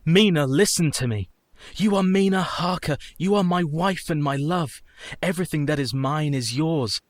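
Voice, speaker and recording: An actor playing a tender yet fierce young husband in a radio play, earnest and emotive. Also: male voice, on the high side, moderate pace, no echo, clean studio sound, close to an everyday voice. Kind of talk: radio play dramatic acting.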